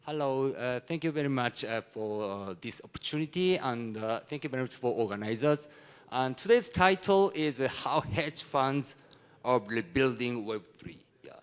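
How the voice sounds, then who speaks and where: Japanese man giving a halting conference presentation. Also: medium pitch, expressive, smooth. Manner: halting, broken phrasing in non-native English. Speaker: conference speaker, hedge fund executive.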